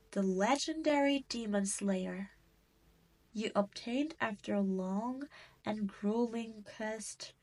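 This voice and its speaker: A haughty, possessive demon queen from a roleplay audio drama, performed by a woman. She speaks at a very leisurely pace in a mid-range female voice, stretching out her taunts. Her delivery is mocking and condescending, aimed directly at the listener. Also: medium pitch. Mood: teasing, commanding. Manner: teasing, condescending, drawn-out delivery.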